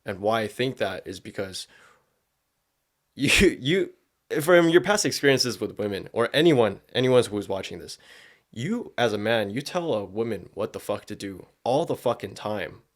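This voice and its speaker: A man's voice: on the low side, expressive, measured pace. Man giving casual, sweary podcast commentary. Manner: slangy, profanity-laden speech. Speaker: podcast host.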